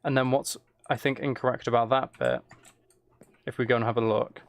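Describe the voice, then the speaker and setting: A man's voice: mid-range voice, steady tone, brisk, dry recording. A man giving casual gameplay commentary, thinking aloud and correcting himself as he chats to viewers. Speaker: streamer playing a building game.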